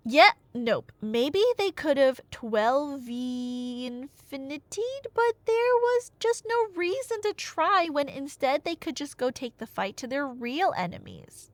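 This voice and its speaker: A woman's voice: sits high, very animated, slow pace, few pauses, no echo. A woman telling a myth in a casual, chatty but dramatic way, with wry asides, like an ASMR roleplay storyteller. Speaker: storyteller playing a chatty girlfriend.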